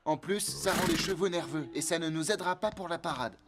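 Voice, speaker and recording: A man's voice: a little high, a little fast, heavy background noise, close to an everyday voice. A man voicing a fair-minded, peacemaking boy in a dubbed cartoon. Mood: firm, placating. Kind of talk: dubbed animated series acting.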